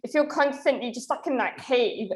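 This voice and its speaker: A woman's voice: high-pitched, normal speed. A woman with a British accent, whose first language is Urdu, hosting an interview in a chatty, conversational way. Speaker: host. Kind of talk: casual interview talk.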